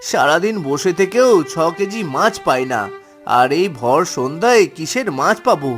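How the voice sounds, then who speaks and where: A man's voice: high voice, animated, moderate pace. A man playing a timid, henpecked poor fisherman in a cartoon, plaintive and melodramatic. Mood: worried, pleading. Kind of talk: cartoon dialogue. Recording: music behind the voice.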